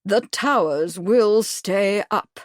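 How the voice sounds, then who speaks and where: Brusque, forceful company boss, stubborn, voiced by a woman narrator, dry and close. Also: medium pitch, animated, moderate pace, no room sound, natural voice. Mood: fierce. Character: brusque male company manager, stubborn and fierce.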